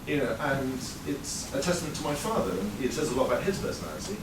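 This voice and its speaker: A man's voice: medium pitch, sing-song, quick pace, very noisy. A man in his thirties from England telling a personal story to a live audience, conversational and wry, with plenty of fillers. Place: performance venue before an audience. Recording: roomy live sound, mic far off.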